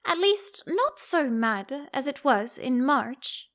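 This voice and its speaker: A curious young storybook girl, acted out by a woman, with a high voice that rises and falls freely. She is polite, earnest and a little timid, full of questions. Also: big pitch swings, slow pace, a bit bright, full character voice. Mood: musing.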